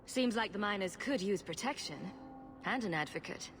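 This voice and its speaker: An actress playing a dry-witted, sarcastic adventurer heroine. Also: female voice, medium pitch, lively intonation, normal speed, music in the background, lightly acted.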